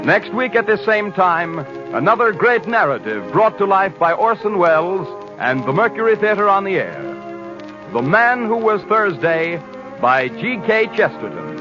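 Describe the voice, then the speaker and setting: A man announcing on radio in a formal, measured, carefully enunciated 1930s style. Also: high-pitched, very animated. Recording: muffled 1930s radio broadcast over orchestral music. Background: orchestral music.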